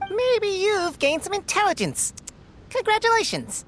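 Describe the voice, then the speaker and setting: A woman's voice: extremely high pitch, very animated, measured pace, a bit bright, slight background noise, full character voice. A woman voicing a haughty, cheeky talking squirrel in a video game, with an amused, condescending manner. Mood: amused, mocking. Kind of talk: video game character dialogue.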